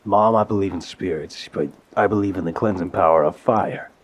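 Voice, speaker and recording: A man playing an apologetic, smitten mafia boss in an intimate audio roleplay, tender and wryly self-deprecating, with a husky voice. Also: low voice, fairly quick. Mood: wry, exasperated. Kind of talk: intimate ASMR roleplay, conversational voicemail monologue.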